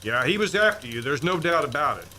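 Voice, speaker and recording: An actor playing a dry-witted police inspector in a community radio play. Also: male voice, fairly high pitch, big pitch swings, fast talker, bright, forceful tone, a little hiss or hum. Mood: wry. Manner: firm and dryly amused.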